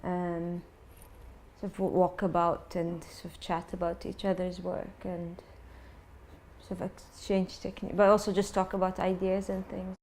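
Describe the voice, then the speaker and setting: A woman in her thirties from Iraq, an art student and former architect, talking in a steady, matter-of-fact way. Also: on the low side, a little slow, slight background noise. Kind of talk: documentary interview. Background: music.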